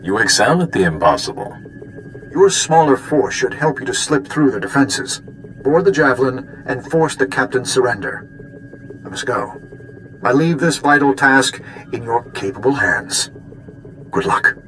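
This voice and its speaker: An actor playing a formal, dignified commander in a video game, solemn in manner. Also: male voice, mid-range voice, animated, a little slow, a bit bright, slight background noise, low-quality recording. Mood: grave, confident. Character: formal, dignified male military commander giving a mission. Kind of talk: scripted video game acting.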